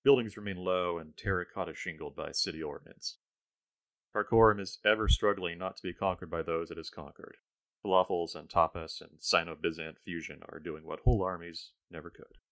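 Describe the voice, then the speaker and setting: A man's voice: sits low, fairly even intonation, a little fast, a bit bright. A man, the author, reading his own science fiction story aloud in the first person, with a dry, wry storytelling tone.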